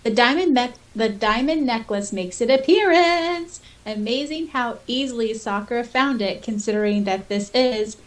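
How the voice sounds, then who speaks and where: A woman's voice: fairly high pitch, normal speed, hardly any pauses. Giggly woman co-hosting a fan podcast. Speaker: podcast co-host. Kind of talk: casual fan discussion, reading listener comments aloud.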